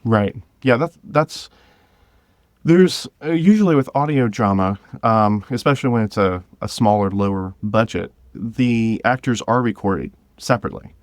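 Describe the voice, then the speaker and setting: A man speaking as a podcast guest in a casual interview, rambling and halting but enthusiastic as he explains things. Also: on the low side, no echo. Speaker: podcast guest, audio drama creator.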